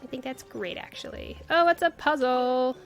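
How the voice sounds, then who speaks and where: A woman's voice: high-pitched, normal speed, creaky. A woman streamer giving casual live game commentary, thinking aloud in short questions and asides. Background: faint game music.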